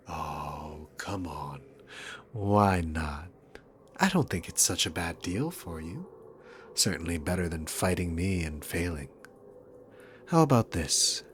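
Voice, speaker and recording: A man's voice: low voice, animated, slow pace, long pauses, a little hiss or hum. A man playing a dominant, sarcastic demon king villain in a scripted ASMR roleplay, with smug, teasing, theatrical phrasing. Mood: amused, coaxing.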